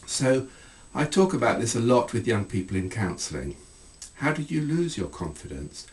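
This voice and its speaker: A middle-aged man reading aloud from his own book as an author, in measured, deliberate phrases. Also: mid-range voice, very animated, far from the mic. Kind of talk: scripted literary reading.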